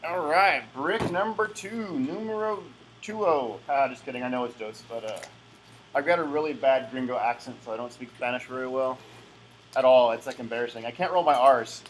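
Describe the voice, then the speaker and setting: A man's voice: mid-range voice, normal speed, a little hiss or hum, rough recording. A young man chatting casually while opening packs, rambling with many fillers and restarts. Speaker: collectible game unboxer. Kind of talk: casual unboxing commentary.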